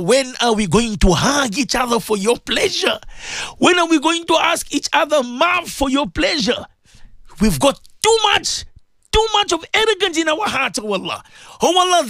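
A woman leading an impassioned, tearful prayer, pleading and swinging between shouted cries and sobbing whispers. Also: extremely high pitch, dry recording, studio quality. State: crying. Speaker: leader of a prayer.

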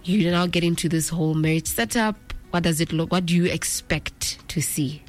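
A woman's voice: on the low side, steady tone, moderate pace, soft background music. A young woman, interviewing a guest casually and often murmuring agreement. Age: 20s.

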